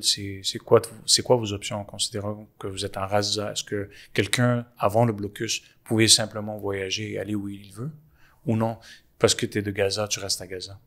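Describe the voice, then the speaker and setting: A man hosts the interview, building his questions slowly through restarts and "euh" fillers. His voice sits very low, and the flat intonation keeps it level and monotone. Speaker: podcast host.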